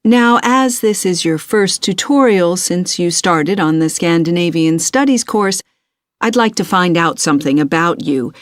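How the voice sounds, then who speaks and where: A woman playing a courteous, methodical university tutor in a scripted listening-test dialogue, measured and encouraging in her advice. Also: lowish voice, expressive, dry recording, clean studio sound. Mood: courteous, advisory.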